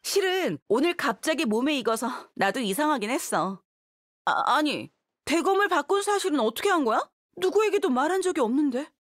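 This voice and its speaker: A woman's voice: high voice, animated, moderate pace, no echo, studio quality. An actress voicing a confident, seasoned young mercenary swordswoman in a video game, frank and self-assured. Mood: teasing, puzzled.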